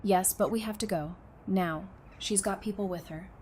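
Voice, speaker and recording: Woman playing a determined young wife in an apocalypse audio drama, earnest, mid pitch, slight background ambience. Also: moderate pace, darkish tone, a little hiss or hum, close to an everyday voice. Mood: firm, defiant. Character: tender but tough, determined young wife surviving the apocalypse. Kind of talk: full-cast audio drama acting.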